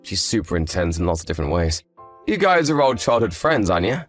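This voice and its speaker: A man playing an earnest, devoted young husband in a scripted audio story, with an expressive delivery. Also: deep voice, fast, soft background music. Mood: casual, chatty.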